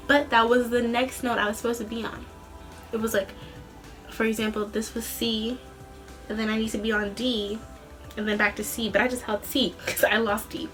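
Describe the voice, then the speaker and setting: A young woman telling a story in a casual, rambling vlog style, animated, with a slightly tired, tense voice. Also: on the high side. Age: young adult. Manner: animated, rambling, acts out quoted dialogue. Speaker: student singer and vlogger.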